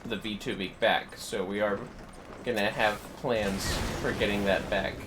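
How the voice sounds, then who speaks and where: Low-voiced man chatting over game music. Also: steady tone, measured pace. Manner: rambling, easygoing, half talking to self. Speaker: livestreamer. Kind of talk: casual gaming livestream chat, muttering to self and viewers. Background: video game music.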